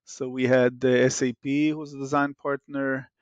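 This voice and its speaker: A man's voice: mid-range voice, little pitch movement, normal speed, dry recording, highs cut off. A man from Israel with an Israeli accent, a startup founder talking animatedly in an interview, restarting often with fillers. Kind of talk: casual interview talk.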